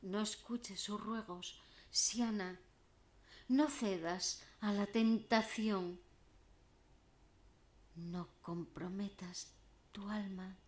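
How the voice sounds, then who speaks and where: Woman reciting as slow, imploring forest creatures. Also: on the low side, flat delivery, a little mellow. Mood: urgent warning. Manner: urgent, imploring declamation. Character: anxious forest creatures warning a maiden.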